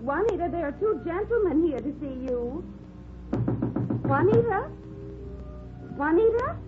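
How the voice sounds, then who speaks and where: A woman's voice: very high-pitched, sing-song, measured pace, heavily acted. A woman playing a courteous, faintly officious hotel desk clerk in a 1940s radio drama, with formal, precise speech. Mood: puzzled, helpful. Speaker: actress in a radio detective series. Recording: tinny 1949 radio recording, faint music.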